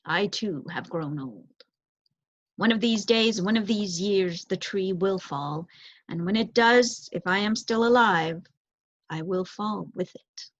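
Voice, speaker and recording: A wise old male owl from a children's story, voiced by a woman reader, speaks in grave, measured sentences. He sounds solemn and resigned. The recording is dry and slightly dull. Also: mid-range voice, dry recording. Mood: melancholy, resigned. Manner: formal, measured, grave phrasing. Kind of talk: children's storybook read aloud.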